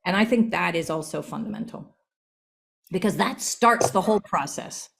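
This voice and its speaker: A woman's voice: fairly low pitch, expressive, normal speed, vocal fry. Earnest woman speaking in a discussion. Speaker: panel speaker and session leader. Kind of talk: earnest discussion in an online session.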